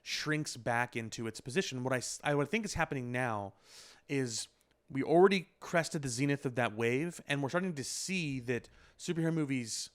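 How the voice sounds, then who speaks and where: A man's voice: mid-range voice, lively intonation, moderate pace. A man co-hosting a casual pop culture discussion, talking in a discursive, opinionated way with elaborate wording. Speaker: podcast co-host.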